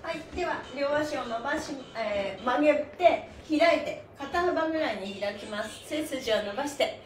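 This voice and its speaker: High-voiced cheerful woman leading stretches, echoey room. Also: expressive, moderate pace. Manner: cheerful, encouraging, singsong chanting. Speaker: stretching instructor. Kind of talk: follow-along exercise instruction with chanted counts. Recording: echoey room, faint background noise.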